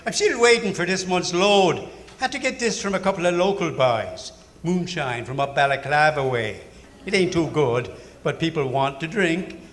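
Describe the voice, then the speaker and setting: A man playing a genial, rough-edged small-town bootlegger in a live radio play, talking in folksy slang. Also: a little high, lively intonation, moderate pace, a bit bright, a little hiss or hum. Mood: casual, frank.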